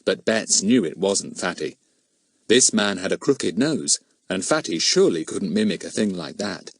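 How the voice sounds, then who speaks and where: A man narrating a children's story from a script in an expressive, animated way. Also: low voice, moderate pace, creaky. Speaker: audiobook narrator.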